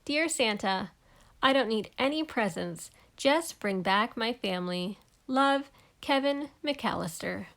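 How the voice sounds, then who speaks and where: A woman's voice: on the high side, expressive, slow, creaky, a bit bright, exaggerated voice. A woman voicing a plucky, lonely young boy in a storybook, with earnest, childlike phrasing. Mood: wistful.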